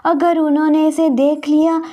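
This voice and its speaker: Woman doing a worried cartoon papa fish, really high pitched, protective and earnest. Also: level tone, normal speed, dark tone, lightly acted. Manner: earnest, urgent pleading. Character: worried young father fish, protective of his baby.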